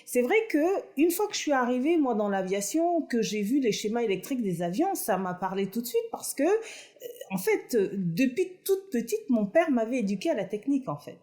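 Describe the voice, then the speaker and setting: A woman's voice: on the high side, big pitch swings, moderate pace, dark tone. A middle-aged woman from France answering interview questions at length, earnest and hesitant with many fillers. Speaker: aeronautics engineer and inventor.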